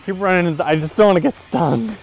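A man in his twenties chatting casually and excitably as he hosts an outdoor vlog, rambling along into a lapel mic. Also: high-pitched, quick pace, gravelly. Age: 20s. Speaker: vlog host and reptile enthusiast. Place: outdoors in open fields, some in a parked car. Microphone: clip-on lapel mic.

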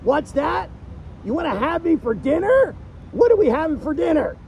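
A man voicing a goofy, wisecracking cartoon duck comedian in a silly, creaky voice, delivering one-liners. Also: very high voice, very animated, normal speed, muffled recording, a little hiss or hum, heavily acted. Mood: chatty, gullible. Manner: silly, punctuates jokes with quacking. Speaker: stand-in comedy host. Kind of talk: one-liner joke routine with quacks.

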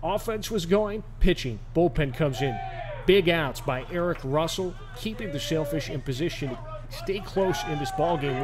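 Upbeat sports-network man, slightly high voice. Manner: energetic, builds to shouts on big plays. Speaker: sports network host and play-by-play narrator. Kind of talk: highlight narration and postgame interview. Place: outdoors on a lit baseball field at night. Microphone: handheld mic. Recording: outdoor field noise behind.

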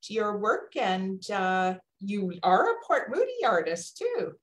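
A woman hosting an informal online event in a halting, polite manner. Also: medium pitch, wildly swooping pitch. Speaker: host. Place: indoor room on a video call. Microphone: video call microphone. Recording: roomy, echoing video call.

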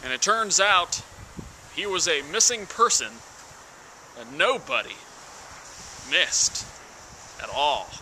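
A young man giving a mock-serious dramatic reading of song lyrics, deliberate and theatrical, with pauses. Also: a little high, sing-song, sharp tone, slight background noise, poor audio. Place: outdoors in snowy woods.

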